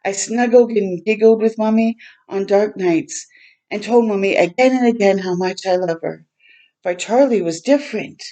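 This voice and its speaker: A woman hosting a storytime for children, reading a picture book aloud in a gentle way and pausing to chat and explain simply. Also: medium pitch. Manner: gentle, simple explaining to young listeners, with pauses to comment.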